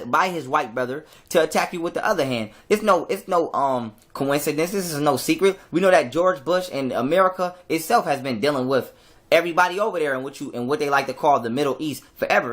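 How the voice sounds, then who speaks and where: A man's voice: on the high side, fairly bright tone. A man giving a heated, emphatic monologue, lecturing his listeners with blunt profanity and stumbling repeats. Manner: emphatic, preachy, profane, repeats words when fired up. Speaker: commentator. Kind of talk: heated street-corner lecture.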